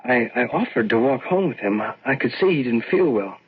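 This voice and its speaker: An actor playing a kindly, concerned minister in a radio drama, with a gentle, hesitant and earnest manner. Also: male voice, mid-range voice, animated, brisk, slightly rough. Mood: grave, hesitant. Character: kindly, concerned church minister soothing his flock. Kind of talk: old-time radio drama acting. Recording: narrow phone-like old radio, faint noise.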